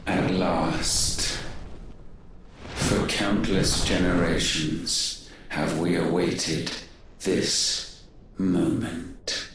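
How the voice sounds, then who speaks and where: An ancient, godlike being from a video game speaks with cold contempt in a very low voice. Each solemn, imperious pronouncement comes extremely slowly and rings with heavy echo, with music behind. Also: male voice, little pitch movement, a little hiss or hum, heavily acted. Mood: solemn triumph. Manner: solemn, haughty, measured archaic pronouncements. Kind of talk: dramatic video game voice acting. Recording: heavily echoing voice under loud music.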